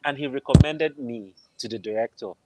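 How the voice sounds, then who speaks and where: A man's voice: medium pitch, moderate pace, heavy background noise. Nigerian guy chatting in an interview, middle aged, keeps stopping to find words, video call. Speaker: interview guest, film composer. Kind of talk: casual interview storytelling. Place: room at home, on a video call. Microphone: wired earphones on a video call.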